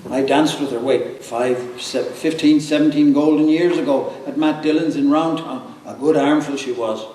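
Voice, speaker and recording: A man's voice: a little high, steady tone, moderate pace, warm tone, lightly acted. An Irish man voicing a snobbish, inquisitive male mourner gossiping with disdain in a live reading of a novel. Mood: nosy, reminiscing. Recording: distant mic in echoey room, faint noise.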